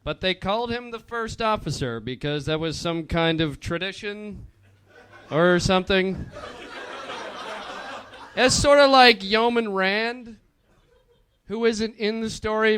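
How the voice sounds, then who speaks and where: A man giving a comedic dramatic reading of fan fiction in a mock-earnest, deliberate storytelling manner. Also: high voice, fairly bright tone, slight background noise. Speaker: comedic reader on a stage show.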